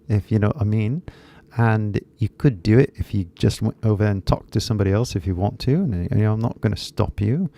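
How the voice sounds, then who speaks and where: Brief: man in his 30s, dark gravelly tone, loose rambling live-stream host. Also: on the low side. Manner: rambling, heavy fillers, switches into Japanese. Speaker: English teacher YouTuber. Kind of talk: casual live stream chat.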